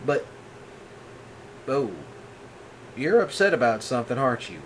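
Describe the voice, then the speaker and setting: A man voicing a calm, patient rancher boyfriend in a soft-spoken ASMR roleplay, folksy and reassuring. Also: medium pitch, a little slow, a little hiss or hum, poor audio.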